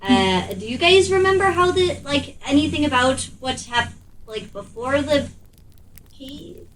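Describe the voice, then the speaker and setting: Flustered timid young hero woman playing, stammers a lot, highish voice, little far from mic, tabletop game. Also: lively intonation, measured pace, a little hiss or hum. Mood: confused. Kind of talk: improvised tabletop roleplay in character. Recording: slightly distant mic.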